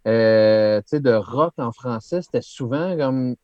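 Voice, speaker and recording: Québécois rock musician rambling casually, dry slightly muffled recording. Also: male voice, medium pitch, measured pace, warm tone, no echo. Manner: chatty, laughing, many fillers. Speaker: musician guest. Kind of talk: casual interview.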